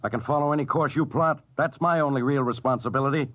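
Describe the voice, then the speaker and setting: A man's voice: mid-range voice, fast, close to an everyday voice. A man acting a responsible, strained captain of a crippled spaceship in a 1950s-style radio drama. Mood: stern. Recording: muffled, noisy old-time radio broadcast.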